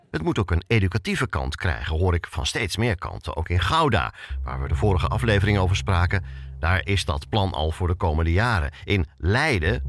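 A man hosting a podcast, narrating conversationally and addressing the listener in an informal, direct way. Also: deep voice. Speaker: podcast host.

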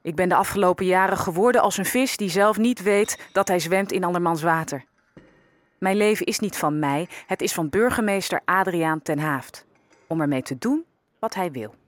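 A woman playing a quietly resigned young enslaved servant in a historical audio drama, telling her story in a measured, reflective way. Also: lowish voice, dry recording, lightly acted. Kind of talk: audio drama first-person narration.

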